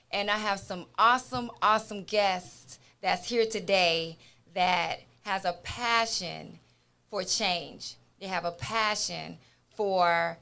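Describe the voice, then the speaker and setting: Female host for a motivational talk show opener: very unhurried, emphatic, deliberate, encouraging delivery. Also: medium pitch, fairly bright tone. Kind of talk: motivational radio show introduction.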